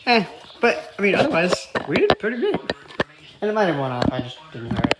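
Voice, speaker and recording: A man's voice: high voice, wildly swooping pitch, fairly quick. A man talking casually as the host of his own weather radio hobby recording. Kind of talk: casual commentary. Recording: very noisy home recording, clicks, radio static. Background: weather radios playing, static and clicks.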